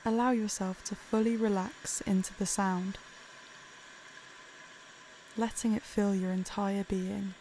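A woman narrating a guided meditation in a calm, soothing, unhurried way. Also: mid-range voice, a little hiss or hum, poor audio.

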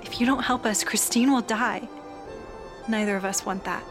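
A woman's voice: medium pitch, moderate pace, music in the background, natural voice. A woman playing an earnest, determined gunfighter in a radio drama. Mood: firm, urgent.

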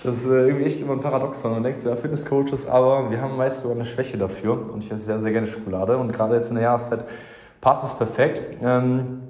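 A man's voice: mid-range voice, fairly even intonation, fast talker, darkish tone. Rambling male coach in an echoey office. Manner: rambling, repeats words, many fillers. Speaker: fitness coach and host. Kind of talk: casual interview. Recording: echoey room with long reverb.

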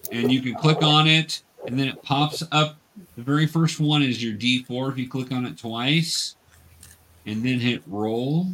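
Male game master, mid-pitched voice, instructional and conversational, patient step-by-step delivery. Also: a little slow, fairly bright tone, slight background noise. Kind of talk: casual tabletop game instruction.

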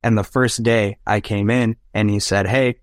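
A man's voice: on the low side, fairly even intonation, moderate pace, no echo, clean studio sound. A young adult man whose first language is Spanish, earnestly telling personal anecdotes as a podcast guest and often checking in with "right?". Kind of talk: casual interview storytelling.

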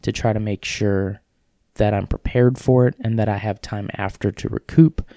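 A man hosting a solo podcast, rambling in a casual, self-deprecating way with halting restarts and a sniffle. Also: on the low side, fairly even intonation, gravelly, warm tone, no room sound, studio quality. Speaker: podcast host.

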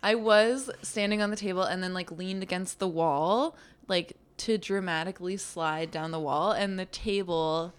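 A woman co-hosting a podcast in a studio, chatting casually and telling animated stories, her voice raspy from singing karaoke. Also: fairly low pitch, normal speed. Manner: laughs often, animated storytelling. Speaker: podcast co-host. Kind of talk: casual chat.

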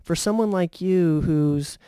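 Mellow-voiced male podcast host. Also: fairly high pitch, clear, resonant tone, no echo. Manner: friendly, halting questions with fillers. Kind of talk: casual interview hosting.

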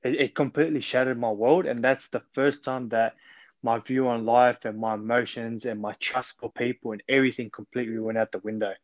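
A man's voice: medium pitch, fairly even intonation, brisk, dry recording, dull audio. A man in his twenties with an Australian accent, a podcast guest on a remote call, telling his story earnestly with many ums and restarts. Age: 20s.

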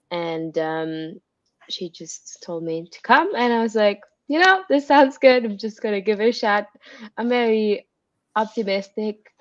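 Swiss-born woman, Swiss German native; upbeat, rambling interview guest; slightly low voice; dull lo-fi recording. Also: no echo. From Switzerland. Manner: upbeat, rambling with many fillers. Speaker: interview guest, pro wrestler. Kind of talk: casual interview answers.

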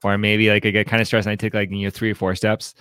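Low-voiced man, halting and reflective. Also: little pitch movement, pushed and bright, clear, resonant tone, no echo, studio quality. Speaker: podcast co-host leading a book commentary. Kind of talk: conversational book commentary.

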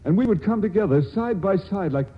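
An actor playing an earnest, awkward, lovesick suitor in a 1940s radio drama, halting and stammering as he adores and pleads. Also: sits high, lively intonation. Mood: ardent, fumbling. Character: earnest, awkward, lovesick scientific young suitor, hesitant and adoring. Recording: tinny, band-limited 1940s radio broadcast recording.

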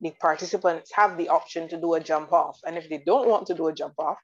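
A woman from Barbados chatting about sports in detail, enthusiastic and quick to laugh. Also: deep voice. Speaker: guest commentator. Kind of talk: casual sports chat. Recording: dull lo-fi audio.

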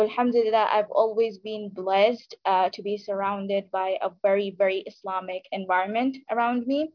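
A woman of Indian origin raised in Saudi Arabia, telling her own story as an interview guest, pausing often to find words. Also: medium pitch, dry recording, dull audio. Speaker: podcast guest, startup founder. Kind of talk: conversational personal account in an interview.

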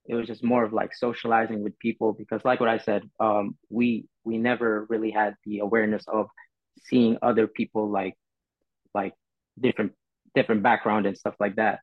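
A man's voice: lowish voice, no room sound. A laid-back man in his twenties, chatting casually as a podcast guest, rambling with lots of fillers. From Philippines. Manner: easygoing, rambling, many fillers. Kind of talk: casual chat. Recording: dull dry sound, faint music.

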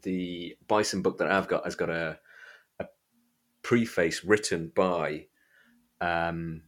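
A man hosting a podcast, talking casually about books in a hesitant, halting way. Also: deep voice, steady tone. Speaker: podcast host. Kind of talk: casual book discussion chat.

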